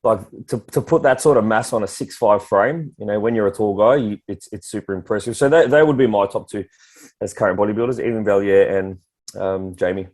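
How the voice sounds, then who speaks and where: A man in his mid-thirties with an Australian accent, co-hosting a coaching podcast in relaxed, easygoing chat. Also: on the low side, brisk. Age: 30s. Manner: relaxed, easygoing, many "like" and "you know". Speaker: prep coach podcast co-host.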